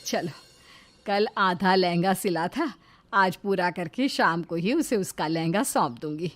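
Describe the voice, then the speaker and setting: A woman's voice: fairly low pitch, a little slow, close to an everyday voice. A woman voicing an earnest, hardworking mother-in-law who sews, in a family story. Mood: eager. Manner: earnest, explaining. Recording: faint background music.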